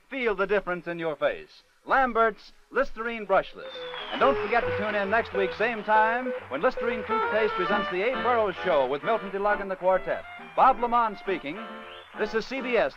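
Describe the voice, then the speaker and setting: A man announcing in 1940s radio style, reading commercial copy and sign-offs. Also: high-pitched. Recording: muffled 1940s radio broadcast recording. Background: music.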